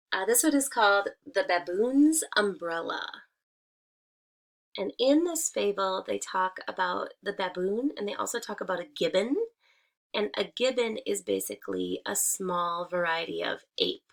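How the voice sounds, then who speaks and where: A woman's voice: on the low side, vocal fry, a bit bright. A woman speaking as a teacher in a read-aloud lesson for young children, addressing them directly and explaining things simply.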